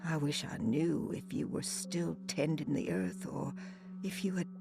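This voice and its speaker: An actress playing a devout, troubled woman in a video game, speaking in a solemn, hushed and reverent way. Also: sits low, fairly even intonation, a little slow, gravelly, over music. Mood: sorrowful, confiding. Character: devout, troubled woman seeking solace in voodoo, praying. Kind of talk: dramatic video game monologue.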